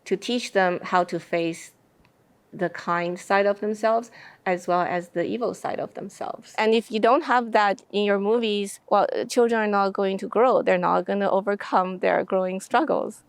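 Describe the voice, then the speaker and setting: A woman speaking as an interview guest in a thoughtful discussion, explaining her ideas with deliberate phrasing. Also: mid-range voice, steady tone, clear, resonant tone.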